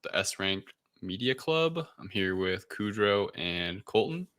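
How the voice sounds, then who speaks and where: A man hosting a casual film review discussion, recapping in a halting, hedging way. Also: on the low side, big pitch swings, fairly bright tone, clean tone. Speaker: podcast host.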